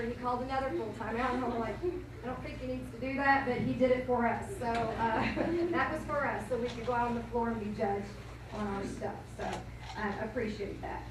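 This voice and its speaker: Hoarse woman in an echoey hall. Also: a little high. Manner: rambling, self-correcting, chatty. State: hoarse, losing her voice. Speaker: cheer coach. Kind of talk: banquet thank-you speech. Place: school banquet room, indoors. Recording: echoey hall from far off, noisy.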